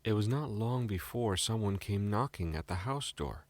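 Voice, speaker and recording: A British male storyteller reads a scripted fairy tale. His voice sits a little low. The sound is dry and close, like a bedtime storybook recording. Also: lively intonation, moderate pace, no room sound.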